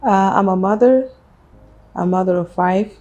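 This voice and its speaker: A woman with a South Sudanese accent answering interview questions about herself in measured, halting phrases. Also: mid-range voice, darkish tone, dull audio. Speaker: interview guest, businesswoman. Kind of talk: interview, personal life story.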